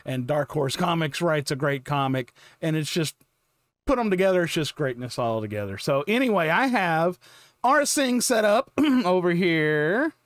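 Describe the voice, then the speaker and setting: A middle-aged man hosts a reaction video with casual, enthusiastic commentary. His voice sits a little high. The sound is dry and close, from a small home studio. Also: lively intonation, moderate pace, dry recording.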